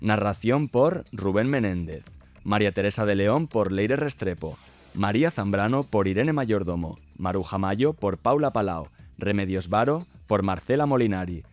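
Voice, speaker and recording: A man's voice: on the low side, animated, normal speed. A man reading scripted credits as an announcer at the close of an audio programme. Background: music.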